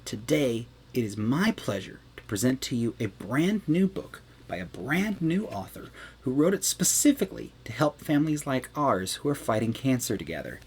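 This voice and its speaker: A man reading to children as a storyteller, expressive, with dramatic emphasis. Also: mid-range voice, moderate pace, breathy edge.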